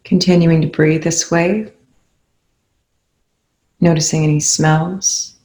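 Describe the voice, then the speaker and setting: Guided meditation leader, mid-pitched voice, very even intonation, calm, unhurried, soothing, long pauses. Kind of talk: guided meditation, unscripted spoken instruction.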